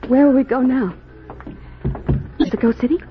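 An actress playing an anguished, devoted young wife, pleading haltingly in a 1950s radio melodrama. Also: female voice, high voice, natural voice. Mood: shaken. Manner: breathless pleading, halting repetition. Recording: muffled old radio recording, faint noise.

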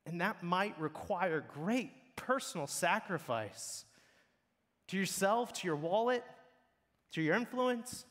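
A man's voice: high-pitched, sing-song, slow, a bit bright. A man preaching a sermon in an earnest, blunt way that builds in intensity. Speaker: pastor. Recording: long hall reverb.

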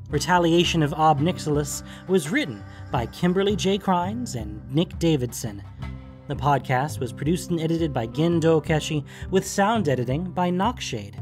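Female announcer, scripted credits and listener thanks. Lively, varied pitch; music underneath. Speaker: podcast announcer. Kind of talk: scripted outro and credits read. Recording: music behind the voice.

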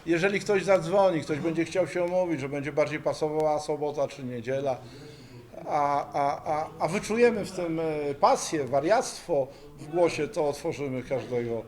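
A man's voice: a little high, moderate pace. A man speaking informally in an interview, with an enthusiastic, emphatic manner. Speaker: volleyball museum founder being interviewed.

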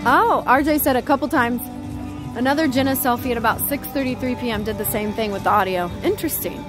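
A high-voiced woman with a naturally quiet voice, chatting and giggling close to a handheld phone. She is outdoors at night with music playing behind her. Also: fast talker. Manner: giggly, playful. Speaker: live stream co-host. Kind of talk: casual chat reading live chat. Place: outdoors at a theme park at night. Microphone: phone held close.

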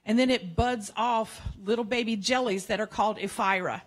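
An older woman gives a relaxed science cafe lecture to a seated crowd. She talks in a chatty, playful way with frequent self-corrections. Her voice comes through a handheld microphone in a large museum hall. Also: fairly high pitch, moderate pace. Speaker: marine educator giving a public science talk.

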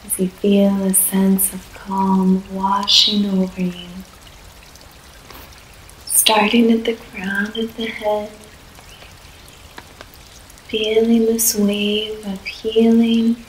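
A woman leading a guided yoga nidra relaxation in a soft, soothing voice, with long pauses between short phrases. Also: medium pitch. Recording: echoey room, faint background noise.

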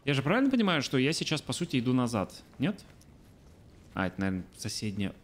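A man's voice: mid-range voice, very animated, fast. A man in his thirties streaming a game from a small room, chatting casually, thinking aloud and answering his chat. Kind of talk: casual let's-play commentary. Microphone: studio mic on arm by face.

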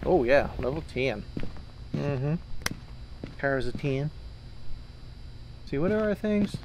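A man chatting casually while playing a co-op horror game, excitable and jokey, often exclaiming. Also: on the high side, takes it slowly, background noise. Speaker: video game player.

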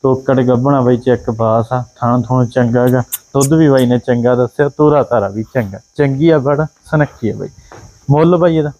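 A Punjabi-accented man reels off a cow's traits in quick singsong checklists on a farm yard. His voice sits at a middling pitch. The audio is a little dull and lo-fi. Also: steady tone, warm tone. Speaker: livestock video host. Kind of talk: casual livestock sales commentary. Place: outdoor dairy farm yard.

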